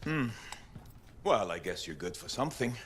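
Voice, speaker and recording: An actor playing a gruff, proud and impatient crew leader in a video game, curt and commanding. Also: male voice, on the low side, very animated, normal speed, a bit bright, a little hiss or hum. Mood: gruff, dismissive. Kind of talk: video game cutscene acting.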